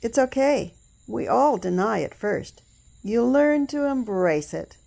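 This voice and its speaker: Casting: actress for eccentric female vagrant in a radio play, creaky voice, consoling, slightly cracked manner. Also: fairly high pitch, expressive, slow, warm tone, heavily acted. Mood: knowing, dotty. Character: eccentric homeless woman who talks to herself. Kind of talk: audio drama acting.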